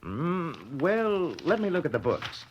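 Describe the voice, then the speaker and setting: Obliging male official, hesitant delivery with lively swings in pitch, vintage radio mystery. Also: slow pace, muffled recording, a little hiss or hum. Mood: helpful. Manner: hesitant, helpful. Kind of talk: 1940s radio drama acting.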